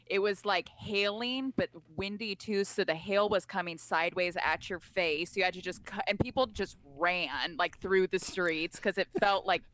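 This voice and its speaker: A woman's voice: mid-range voice, normal speed, few pauses, low-quality recording. A young woman from California, a podcast guest, chatting casually and acting out the lines she quotes. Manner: animated storyteller, acts out quoted lines. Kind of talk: casual chat.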